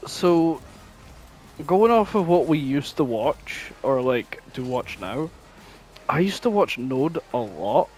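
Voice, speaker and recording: A man's voice: on the high side, very animated, takes it slowly, darkish tone, faint music behind, low-quality recording. A man co-hosting a podcast in casual, informal chat with plenty of swearing. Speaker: podcast co-host.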